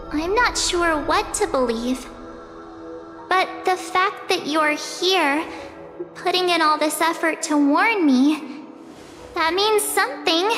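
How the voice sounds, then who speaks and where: A woman's voice: very high-pitched, fairly bright tone, heavily acted. A woman voicing a cheerful, loyal, brave teenage girl adventurer in an anime game, speaking hesitantly but earnestly. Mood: hesitant, sincere. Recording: long reverb, music behind.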